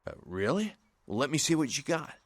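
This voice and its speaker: A man's voice: a little high, very animated, fairly quick. An actor playing a casual, laid-back young male street dealer with slangy speech in a video game. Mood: casual, curious. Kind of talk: video game character acting.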